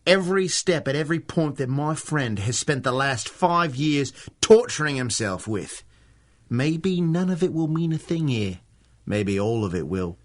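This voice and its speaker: A man playing a cocky, sly rogue with a wry, deliberate delivery in a pulp radio drama. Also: fairly high pitch, expressive. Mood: wry, measured. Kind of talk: 1930s pulp radio acting.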